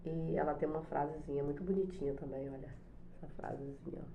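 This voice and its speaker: A middle-aged woman with a cold, hoarse, chatting softly and hesitantly on her home vlog with many fillers. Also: sits low, fairly even intonation, fast, echoey room, narrow, tinny audio, slight background noise. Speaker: channel host, special education teacher. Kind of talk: casual devotional vlog chat. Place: home, morning coffee.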